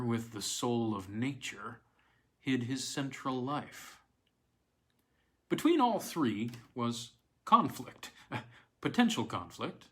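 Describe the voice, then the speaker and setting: A man reading a classic weird tale aloud in a measured, expressive storytelling manner. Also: medium pitch. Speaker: reader of classic weird tales.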